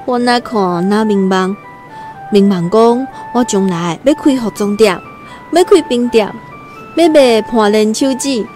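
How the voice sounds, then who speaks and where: Radio drama role for a woman: humble young rural girl, Taiwanese accent, plain-spoken and earnest, warm relaxed timbre. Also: on the high side, measured pace, soft background music, natural voice. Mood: wide-eyed wonder. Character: timid nineteen-year-old country girl new in Taipei. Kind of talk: Taiwanese radio drama, first-person storytelling and dialogue.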